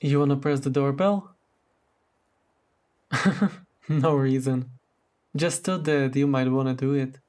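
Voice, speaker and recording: A man playing a tender, caring boyfriend in a romantic roleplay audio drama, speaking gently and affectionately. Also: mid-range voice, steady tone, takes it slowly, long pauses, lightly acted. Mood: affectionate, relaxed. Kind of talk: romantic roleplay audio drama, intimate one-sided dialogue.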